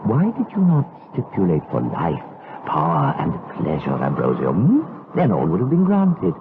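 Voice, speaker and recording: An actor playing a malevolent, commanding demon tempter in a gothic audio drama, with an imperious and taunting manner. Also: male voice, low voice, wildly swooping pitch, moderate pace, noisy background, exaggerated voice. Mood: mocking, gloating. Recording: band-limited lo-fi audio under loud music.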